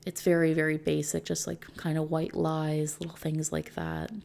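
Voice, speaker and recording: A soft-spoken woman in an ASMR roleplay, gently and reassuringly explaining things like a service consultant, with breathy edges that drift toward a whisper. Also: low-pitched, completely flat delivery, normal speed. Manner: gentle, reassuring, checking in with the listener.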